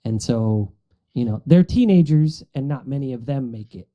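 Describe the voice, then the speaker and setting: A man's voice: mid-range voice, animated, moderate pace, muffled recording. A man chatting casually on a podcast about movies, telling things in a rambling, amused way. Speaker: podcast panelist.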